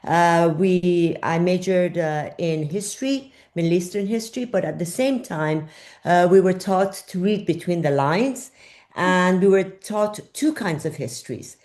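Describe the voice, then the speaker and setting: An older woman from Iran with a lowish voice speaks as an interview guest. She answers thoughtfully and often pauses on fillers while she searches for words. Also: a little mellow. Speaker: journalist guest.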